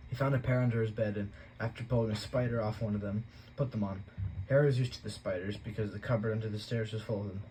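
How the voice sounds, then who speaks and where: A man reading a novel aloud as an audiobook, in plain, steady storytelling. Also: lowish voice, quick pace, a little hiss or hum, poor audio. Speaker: audiobook reader.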